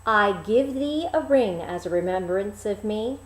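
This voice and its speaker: A woman's voice: mid-range voice, expressive, unhurried, echoey room, close to an everyday voice, low-quality recording. A woman voicing an earnest young prince in a fairy tale, with formal, old-fashioned speech. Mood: tender, solemn. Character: young prince, later king, earnest and trusting.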